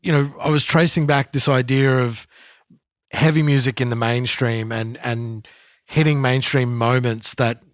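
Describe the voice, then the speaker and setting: A mid-pitched man co-hosts a music podcast. He thinks out loud, stops and starts, and repeats his words as he goes. His voice sits close and dry on the mic. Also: steady tone, no room sound. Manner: thoughtful, halting, repeats words. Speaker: podcast co-host. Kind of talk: casual podcast chat.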